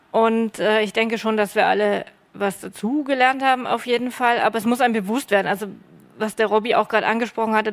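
A woman who took part in the workshop, talking on a panel, reflective and searching for words. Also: a little high. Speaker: workshop participant. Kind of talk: panel discussion. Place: stage at a festival panel.

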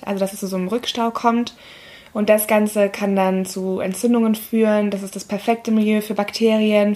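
Hoarse young woman podcast host. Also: medium pitch. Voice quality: scratchy. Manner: friendly, explains step by step with fillers. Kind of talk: casual explanatory podcast talk.

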